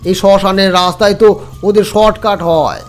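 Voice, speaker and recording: A man playing a secretive, terse village man in a horror audio story, speaking with deliberate, eerie calm. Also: sits high, slight background noise, lightly acted. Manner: slow, deliberate, evasive.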